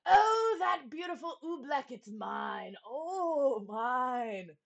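A woman voicing a greedy, boastful king who barks his orders loudly. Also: sits high, very animated, drawn-out words, creaky, exaggerated voice. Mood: gleeful. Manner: loud, gleeful, barking commands.

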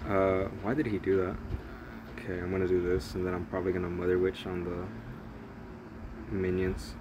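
Deep-voiced young man, casual game commentary. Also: little pitch movement, normal speed, highs cut off, noisy background. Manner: rambling, profane, jokey play-by-play. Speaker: gaming channel host.

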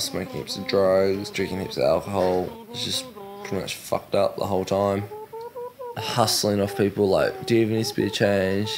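Candid young New Zealand man, phone diary. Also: on the low side. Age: late teens. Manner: candid and offhand, with frequent fillers. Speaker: teen documenting his own life. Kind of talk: casual phone-filmed video diary. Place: outdoors on a rural farm and in a bike shed. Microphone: phone camera held at arm's length.

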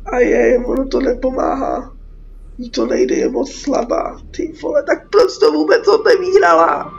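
A woman's voice: a little high, wildly swooping pitch, gravelly. A Czech woman with a cold giving casual, sweary game commentary, reading out dialogue and reacting with exasperation. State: sick with a cold. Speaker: gaming YouTuber. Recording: muffled mic with background noise.

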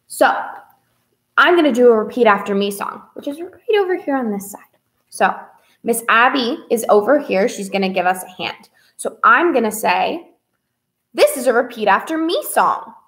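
High-voiced young woman, expressive story-time host. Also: normal speed. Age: young adult. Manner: expressive, sing-song, child-directed. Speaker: children's librarian hosting a read-aloud. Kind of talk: children's story-time presentation. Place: small indoor room with whiteboard.

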